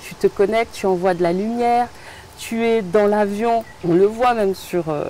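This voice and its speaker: A woman host speaks warmly and admiringly, repeating her phrases as she builds her question. Her pitch is mid-range and even. Faint background noise sits under her voice. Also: moderate pace, rough recording. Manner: warm, admiring, repetitive phrasing. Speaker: interview host. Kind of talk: interview conversation.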